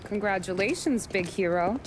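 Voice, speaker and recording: An actress playing a tough, teasing young American barkeep's daughter in a period game, sassy and dry. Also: female voice, medium pitch, normal speed, background noise, lightly acted. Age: young adult. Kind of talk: dramatic video game acting.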